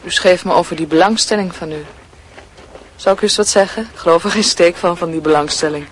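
A woman's voice: fairly low pitch, brisk, lightly acted. Forthright young woman played by an actress, noisy recording. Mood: challenging. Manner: blunt, teasing, insistent. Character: forthright young woman pressing her suitor into engagement. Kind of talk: Dutch radio drama acting. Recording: radio play with clear background noise.

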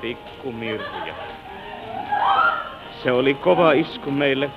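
An actor playing a considerate middle-aged son in an old Finnish radio drama. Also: male voice, medium pitch, expressive, a loud crowd, lightly acted. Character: considerate grown son, gentle middle-aged family man. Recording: dull vintage radio recording with music.

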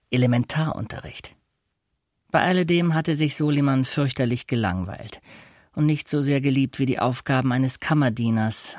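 A man's voice: mid-range voice, dry recording. Male literary narrator, close dry sound. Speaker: narrator of a literary radio play.

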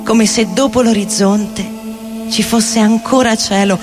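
A woman giving a theatrical recitation of poetry in deliberate, short phrases. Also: on the high side, fairly even intonation. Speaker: theatre reader and vocal performer. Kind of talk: poetic theatrical recitation over music. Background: experimental guitar and electronic loops.

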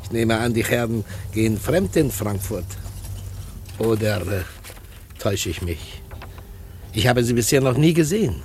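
A man's voice: medium pitch, expressive, measured pace, pauses often, darkish tone, slight background noise. An over-polite, sly male pickpocket. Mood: sly, apologetic. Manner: courteous, sly, chuckling, clipped formal phrasing. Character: smooth, over-polite pickpocket gentleman with shady charm. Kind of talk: radio play dialogue.

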